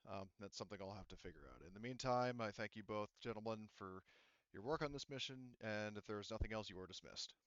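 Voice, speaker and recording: A man voicing a formal male commanding officer who speaks in a measured way, in his own low, smooth voice. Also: fairly bright tone, no echo, dull audio, lightly acted.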